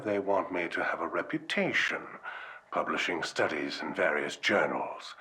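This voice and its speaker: An actor playing a callous, self-serving doctor in a game, dictating research notes in a measured, formal, detached manner. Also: male voice, low voice, pushed and bright, heavily acted. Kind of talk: scripted game audio-log monologue.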